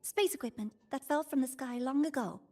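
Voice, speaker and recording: High-voiced, eager nerdy young researcher girl. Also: a little fast, mellow. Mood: excited. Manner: eager rambling, flustered apologies. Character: excitable young female space-debris researcher, shy and nerdy. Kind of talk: anime-style video game acting.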